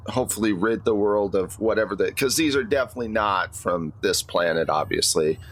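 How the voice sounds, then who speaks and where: A man's voice: on the low side, brisk. A man speaking as game master, narrating a tabletop roleplaying game in a conversational way. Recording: clear steady background noise.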